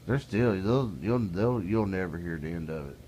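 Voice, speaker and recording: A man's voice: low voice, animated, normal speed, mellow, slight background noise. A middle-aged man talking casually to camera in a plainspoken, folksy way, building into an exasperated rant. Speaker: vlogger. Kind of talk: casual ranting monologue.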